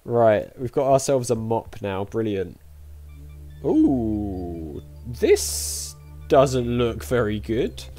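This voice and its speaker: A man commentates casually over a game, thinking out loud in a chatty way. His mid-pitched voice moves up and down in an animated way, with soft music underneath. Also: very slow. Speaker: gaming YouTuber. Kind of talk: casual let's-play commentary.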